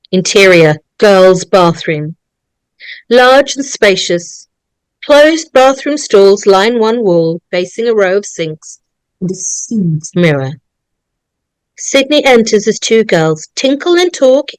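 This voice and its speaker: Female narrator for screenplay directions; medium pitch, unhurried pace, dry close sound. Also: a little mellow, clear, resonant tone, no echo, low-quality recording.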